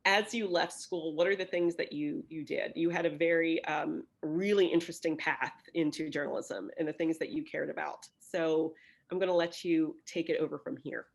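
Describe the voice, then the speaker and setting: A woman career advisor hosting a video chat, speaking in a halting way with many fillers and restarts. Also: low voice, fairly even intonation, vocal fry. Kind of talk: moderating a chat.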